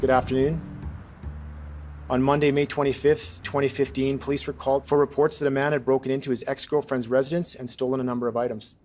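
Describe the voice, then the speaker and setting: A man's voice: mid-range voice, level tone, fast, a little mellow, a little hiss or hum. Formal man reading an official statement. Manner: official, impersonal. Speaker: police spokesman.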